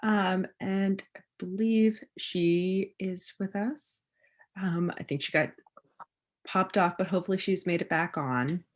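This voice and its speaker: A woman's voice: fairly low pitch, steady tone, slow. A woman moderating an online panel, speaking hesitantly with fillers as she introduces the next speaker.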